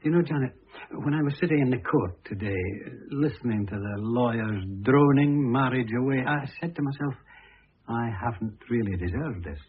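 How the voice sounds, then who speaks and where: Radio play: middle-aged husband , male actor, pompous complainer pitying himself, husky, muffled lo-fi sound. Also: mid-range voice, normal speed, close to an everyday voice. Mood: self-pitying, pompous. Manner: pompous, complaining, long-suffering. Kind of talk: radio drama acting. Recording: muffled lo-fi old radio recording.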